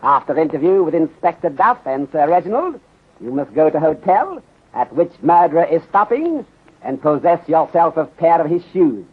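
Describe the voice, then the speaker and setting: A courteous, methodical Chinese detective from an old radio serial. He speaks in formal, deliberate, clipped phrases with a slightly raised, husky voice, through a muffled 1930s recording. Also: on the high side, very animated. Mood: polite, instructing.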